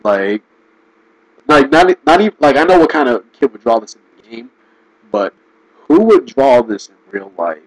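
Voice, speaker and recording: A man giving casual, rambling commentary, restarting sentences and laughing often, his voice going hoarse. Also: medium pitch, big pitch swings, normal speed, long pauses, no room sound, low-quality recording. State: losing his voice. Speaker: video commentator.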